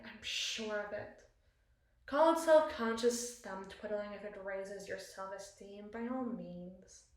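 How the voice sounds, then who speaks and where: A woman playing a battle-scarred, commanding evil queen in an intimate ASMR roleplay, speaking formally and wistfully with deliberate, theatrical pauses. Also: medium pitch, natural voice. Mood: wry, resigned. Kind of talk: ASMR roleplay, slow dramatic monologue. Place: small bathroom, indoors. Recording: echoey tiled bathroom.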